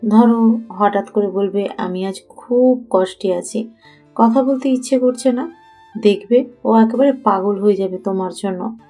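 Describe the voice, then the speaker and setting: Woman playing a mellow-voiced, scheming young friend. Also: mid-range voice, a little slow, soft background music. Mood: sly coaching. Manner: instructing, persuasive, assured. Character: scheming young woman coaching her friend, bossy and sly. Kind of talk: Bengali audio story acting.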